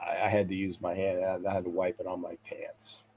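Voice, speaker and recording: A man's voice: low voice, monotone, brisk, a little mellow, slight background noise. A man hosting a sports talk livestream, stuffy and congested, rambling casually and repeating himself as he signs off to viewers. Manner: chuckles to himself. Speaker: sports talk show host.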